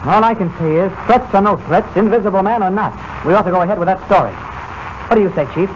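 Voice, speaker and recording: An actor playing a level-headed, skeptical newspaper reporter in a 1940s radio drama, brisk, plain-spoken and challenging. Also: male voice, sits high, close to an everyday voice. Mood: defiant. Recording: noisy, dull old-time radio recording.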